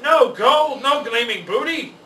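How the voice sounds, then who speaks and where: Man putting on a bright, forceful greedy pirate. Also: extremely high pitch, expressive, unhurried, pitched above the performer's own voice, full character voice. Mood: angry, cursing. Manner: exclaiming pirate talk. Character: stubborn, greedy, grumbling male pirate captain hungry for gold. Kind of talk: children's storybook character voice. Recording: roomy, distant mic, faint noise.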